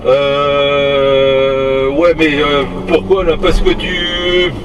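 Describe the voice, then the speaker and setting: A man's voice: a little high, extremely slow, highs cut off. A man chatting casually as a CB radio operator, in a hesitant, rambling way. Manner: hesitant, rambling, tag words at phrase ends. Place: inside a moving vehicle. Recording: distant mic, steady vehicle noise.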